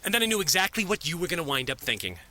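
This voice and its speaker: A man's voice: a little high, big pitch swings, very fast, pushed and bright, slight background noise, heavily acted. A man playing an exasperated, defensive and fiercely independent agent in an audio drama, speaking emphatically.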